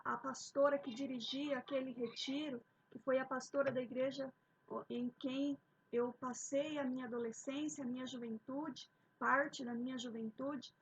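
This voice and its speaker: A woman preaching a sermon, opening with a fervent prayer and often addressing her listeners warmly. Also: high voice, husky, lo-fi sound. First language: Portuguese. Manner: fervent, repeated "queridos" and "ó Pai".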